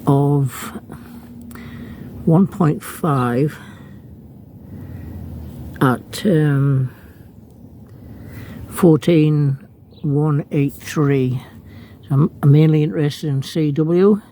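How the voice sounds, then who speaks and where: A man's voice: on the high side, extremely slow, pauses often, warm tone, a little hiss or hum. A man narrating an unscripted hands-on demonstration as an amateur radio hobbyist, hesitant and thinking aloud as he works. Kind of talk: unscripted how-to vlog narration.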